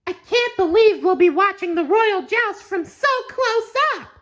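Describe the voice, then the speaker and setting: A man voicing a cheerful, eager young sea sponge in a squeaky, high-pitched voice, read from a storybook. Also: huge pitch swings, unhurried, sharp tone, exaggerated voice. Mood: excited.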